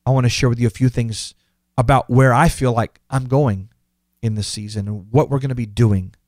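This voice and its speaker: A man's voice: mid-range voice, steady tone, normal speed, a little mellow, no room sound. Host, male, 50s: earnest motivational podcast monologue, emphatic repeats, dry close mic.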